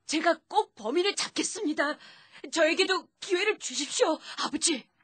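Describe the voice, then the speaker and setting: A woman's voice: very high-pitched, lively intonation, normal speed, fairly bright tone, no echo. A woman voicing a brave, earnest and determined young boy prince in a children's puppet play. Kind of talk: children's puppet theatre acting.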